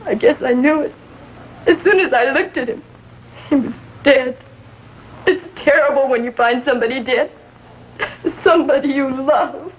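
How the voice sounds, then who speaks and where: An American woman playing a distraught, lovestruck sixteen-year-old girl in a 1950s TV drama, speaking in short, halting sentences. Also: high voice, lo-fi sound, lightly acted. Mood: grieving. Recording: faintly noisy vintage TV soundtrack.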